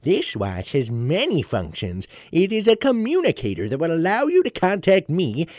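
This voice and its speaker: A man's voice: on the high side, wildly swooping pitch, moderate pace, a little mellow, no echo, clean studio sound. A man playing a kindly old gadget inventor in a comic audio drama, with a folksy, hesitant, chuckling old-timer delivery. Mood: helpful, proud. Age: older.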